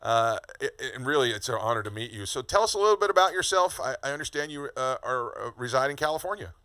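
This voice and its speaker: A man from Kentucky hosting a casual interview, friendly and a bit halting, with many fillers and restarts. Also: medium pitch, animated, pushed and bright, no room sound, studio quality. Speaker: podcast host.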